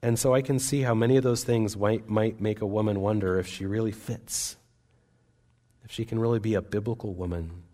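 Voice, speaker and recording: A man's voice: lowish voice, fairly even intonation, a little fast, mellow. A man preaching a sermon in a church service, speaking in an earnest, conversational way with small restarts.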